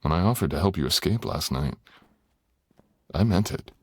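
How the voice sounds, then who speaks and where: Guy doing seductive dragon lord asmr, super low husky voice, slow n brooding, formal wording. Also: lively intonation, no room sound. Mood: earnest, hesitant. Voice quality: very deep, gravelly, husky, often breathy.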